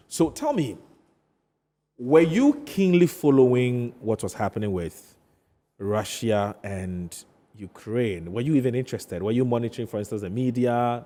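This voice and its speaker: A man with a Ghanaian accent hosting a studio interview, phrasing things haltingly and often repeating himself. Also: on the low side, dark tone. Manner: hesitant phrasing, repeats questions back. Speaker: TV talk show host.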